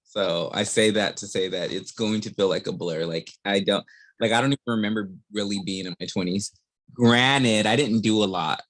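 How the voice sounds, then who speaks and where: A man's voice: on the low side, normal speed, fairly bright tone. A man in his thirties hosting a podcast, chatting casually and laughing easily with his guest. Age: 30s. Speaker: podcast host.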